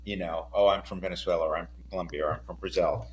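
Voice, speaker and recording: A man speaking as a podcast guest in a casual conversation, unhurried and hesitant, with many pauses and fillers. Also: low-pitched, fairly even intonation, a little hiss or hum, poor audio.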